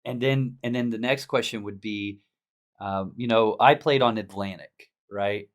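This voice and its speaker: A man's voice: fairly low pitch, measured pace. A man hosting a casual gaming podcast, easygoing and laughing often, with plenty of fillers as he asks questions. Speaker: podcast host.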